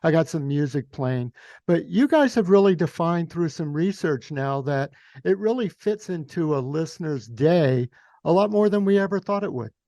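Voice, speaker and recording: A man's voice: fairly high pitch, animated, normal speed, dark tone, no echo, studio quality. A man hosting an interview podcast in a chatty, conversational way. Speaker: podcast host.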